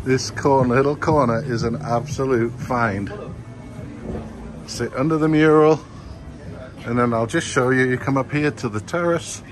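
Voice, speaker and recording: A man's voice: mid-range voice, fairly even intonation, unhurried, pauses often, music in the background. A man narrating a walking tour of a food court, exclaiming with enthusiasm over the dishes. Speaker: vlogger touring a food court. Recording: noisy outdoor street recording.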